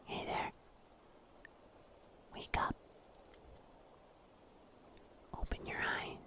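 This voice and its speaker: A man playing a wry, protective huntsman in a breathy, whispered ASMR roleplay. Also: natural voice. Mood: concerned, hushed. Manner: slow, hushed, gently teasing. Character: mysterious huntsman in dark woods, wry and protective.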